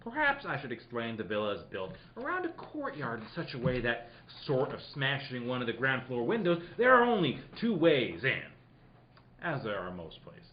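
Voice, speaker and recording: A man's voice: medium pitch, big pitch swings, normal speed, muffled recording, slight background noise, lightly acted. Man playing a swooping, theatrical radio detective. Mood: storytelling, playful. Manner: playful, breaking off into asides and laughter. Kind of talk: hammy old-time radio mystery read, with joking ad-libs.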